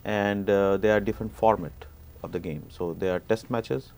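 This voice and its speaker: Low-voiced man hosting a sports interview. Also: fairly even intonation, normal speed, a little mellow, slight background noise. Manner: asks questions and explains patiently. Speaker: show host.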